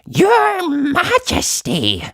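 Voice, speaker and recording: A man voicing a small, formal male goblin servant in a storybook reading, high and fussy. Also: wildly swooping pitch, extremely slow, a bit bright, no echo, clean studio sound, full character voice.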